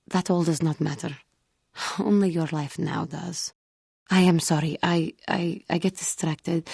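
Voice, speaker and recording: A female cat-like alien warrior from a video game, proud yet haunted by guilt. The voice sits low with a gravelly creak and a husky grain. The delivery is formal, earnest and halting. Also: warm tone, no room sound, studio quality, heavily acted. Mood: shy, apologetic. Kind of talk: scripted video game character dialogue.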